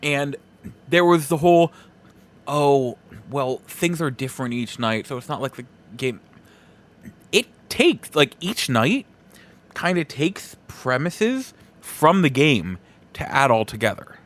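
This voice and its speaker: A man's voice: medium pitch, big pitch swings, slow pace, a bit bright. Casual, rambling man podcaster. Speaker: podcast host. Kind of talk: casual movie review monologue.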